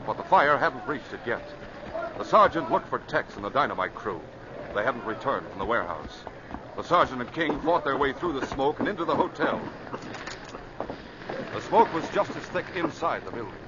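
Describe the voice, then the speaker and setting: A man's voice: mid-range voice, big pitch swings, normal speed, natural voice. A man narrating an adventure serial in a dramatic, scripted old-time radio style. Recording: noisy, muffled old radio recording.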